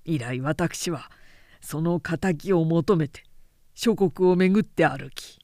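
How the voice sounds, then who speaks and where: A woman's voice: low voice, mellow, no echo, studio quality. A woman voicing a gaunt, dignified young samurai avenger in an audiobook, speaking formally and with grave restraint. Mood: grave, restrained. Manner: formal, measured samurai speech. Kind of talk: audiobook character dialogue.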